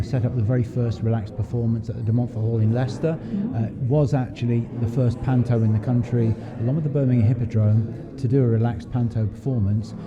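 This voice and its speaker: Male interviewer, dull sound, crowd behind. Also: medium pitch. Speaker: podcast interviewer. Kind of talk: conversational interview with long lead-in questions. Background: crowd chatter at an event.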